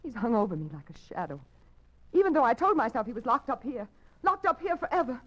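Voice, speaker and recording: A woman's voice: a little high, very animated, fast. A frightened, stammering young woman. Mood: fearful. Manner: anxious and breathless, stammers. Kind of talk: 1940s radio acting. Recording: dull lo-fi 1940s radio broadcast.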